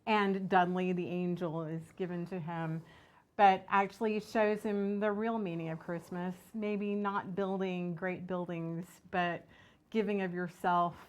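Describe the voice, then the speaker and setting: A woman's voice: fairly low pitch, steady tone, measured pace. A woman speaking as a theater director, explaining things in an interview.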